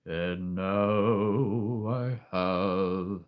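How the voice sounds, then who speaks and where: Male stream host: very deep, unhurried, soothing storyteller voice, rambling and calm, slight allergy throat-clearing. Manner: rambling, with frequent restarts and soft laughs. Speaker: livestream host. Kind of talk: casual live-stream chat.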